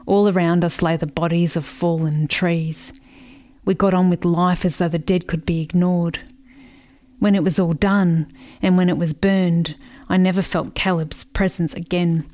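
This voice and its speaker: Actress as a solemn, low-pitched grieving woman. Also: steady tone, a little slow, mellow, natural voice. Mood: bitter, grieving. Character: haunted, grieving woman recalling a bitter rural childhood. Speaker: actor in a radio play. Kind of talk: reflective dramatic monologue.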